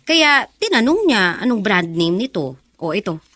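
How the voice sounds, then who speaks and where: A woman's voice: medium pitch, very animated, moderate pace, bright, forceful tone, a little hiss or hum. A woman playing a good-natured, studious teenage girl in a radio drama. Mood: friendly.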